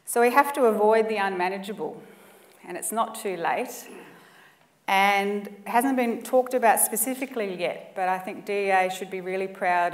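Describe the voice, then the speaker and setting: A woman's voice: mid-range voice, recorded from a distance. A woman in her forties with an Australian accent, giving an emphatic, earnest presentation at a conference lectern. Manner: earnest, emphatic, builds lists for effect. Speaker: conference speaker. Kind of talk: presentation talk. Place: conference room or lecture hall, indoors. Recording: echoey hall, mic set back.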